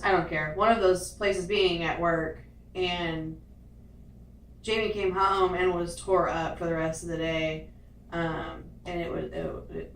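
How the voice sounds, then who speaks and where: A woman with a deep voice, chatting casually as a co-host in a frank, rambling way with many fillers. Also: a bit bright. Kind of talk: casual chat. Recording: roomy, distant mic, faint noise.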